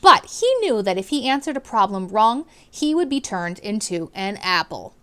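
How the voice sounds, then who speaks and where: A woman reads aloud as a teacher would to young children. Her mid-pitched voice moves up and down in an animated way. She leans on key words for drama and addresses her listeners directly. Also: normal speed, clear, resonant tone. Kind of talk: expressive children's read-aloud with questions to listeners.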